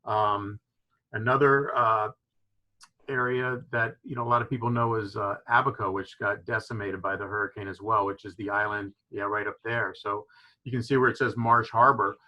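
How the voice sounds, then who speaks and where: A man's voice: on the low side, steady tone, moderate pace, pushed and bright, low-quality recording. A man from Florida who owns a fishing lodge, explaining with enthusiasm and many "you know" fillers on a video call. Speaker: fishing lodge owner, guest. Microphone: video call microphone.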